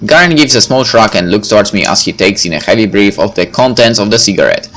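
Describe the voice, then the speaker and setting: Male narrator for a visual novel read-through, lowish pitch, casual asides, soft music underneath. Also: expressive, fast talker. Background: background music.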